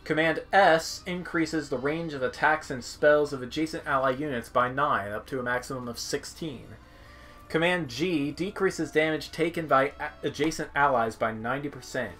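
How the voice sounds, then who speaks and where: A man giving casual game commentary in a halting, musing way, sometimes reading text aloud. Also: mid-range voice, a bit bright. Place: indoor room at home, seated. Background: game music.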